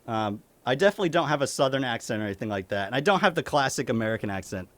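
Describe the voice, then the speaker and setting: A man's voice: mid-range voice, lively intonation, racing, fairly bright tone, dry recording. A young man with a light Maine accent, a streamer casually answering chat questions at home, chuckling often and swearing casually. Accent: light Maine (New England).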